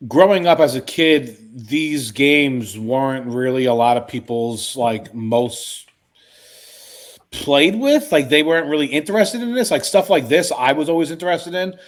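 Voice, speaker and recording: A man's voice: a little high, lively intonation, measured pace. A man chatting casually as a panelist in a group discussion, in plain conversational phrasing. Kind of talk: casual chat.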